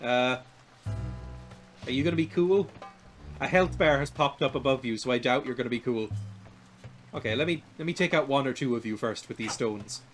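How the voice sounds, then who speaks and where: A young man in his twenties with a light, naturally high voice, rambling and laughing at himself while he streams a video game. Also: moderate pace, long pauses, a bit bright, soft background music. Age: 20s. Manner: rambling and self-deprecating, laughs often. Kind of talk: casual chat while playing a video game.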